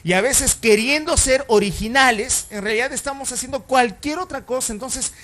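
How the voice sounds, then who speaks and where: A male pastor with a notably high voice delivers a sermon. He talks in a loose, conversational way, full of fillers and self-corrections, and keeps putting rhetorical questions to the congregation. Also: normal speed, fairly bright tone.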